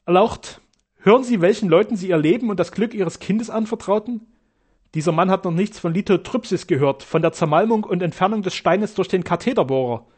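A male narrator plays a fearless German doctor in an audiobook. He speaks with formal, heated conviction and accuses his rivals outright. The voice sits a little higher than a typical man's. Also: fairly quick, a little mellow, breathy edge. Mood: firm.

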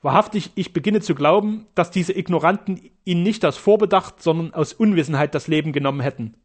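A male narrator plays a fearless German doctor in an audiobook. He speaks with formal, heated conviction and accuses his rivals outright. The voice sits a little higher than a typical man's. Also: brisk, natural voice. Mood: firm.

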